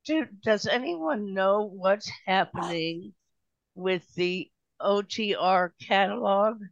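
A man talking casually in a group discussion, halting and repeating his words as he asks and explains. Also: high voice, creaky, no echo, muffled recording. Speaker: participant in an old-time radio discussion group.

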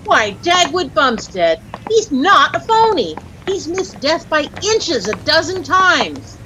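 An actress with an American accent playing a chirpy, easily dazzled young housewife who gushes and lightly scolds in a 1940s radio comedy. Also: female voice, high voice, sing-song, slow pace, sharp tone, exaggerated voice. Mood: indignant, scolding. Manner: gushing, breathlessly admiring, lightly scolding. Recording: noisy old-time radio recording.

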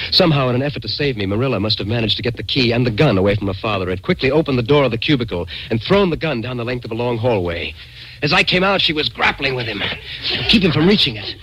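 An actor playing an earnest, bewildered man telling his uncanny adventure in an old-time radio drama, dramatic and halting when nervous. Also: mid-range voice, background noise, natural voice. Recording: old-time radio recording with music behind.

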